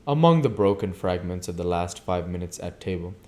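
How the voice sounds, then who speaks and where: A man reading a classic novel aloud as an amateur audiobook reader, with deliberate, emphatic, theatrical delivery. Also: low-pitched, lively intonation, darkish tone.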